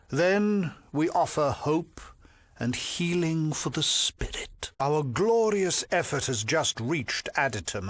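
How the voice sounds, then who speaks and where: A haughty cult priest from a video game. His speech is slow and measured, swinging widely from hushed, silky murmurs to imperious peaks. He is pompous and condescending, with menace under every pious word, all in a close, dry recording. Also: on the high side, slightly rough, no room sound, exaggerated voice. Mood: pious, lofty. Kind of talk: theatrical video game voice acting.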